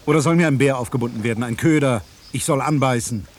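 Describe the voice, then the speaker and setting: An actor playing a frustrated, skeptical investigative journalist in a German radio play, speaking in probing, clipped questions. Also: male voice, mid-range voice, fast talker, a little hiss or hum, close to an everyday voice. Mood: suspicious.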